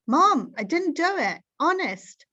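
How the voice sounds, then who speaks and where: Woman acting an anxious, high-voiced young boy. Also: big pitch swings, slow pace, pushed and bright, dull audio, exaggerated voice. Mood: protesting. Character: anxious, defensive young boy, puzzled and earnest.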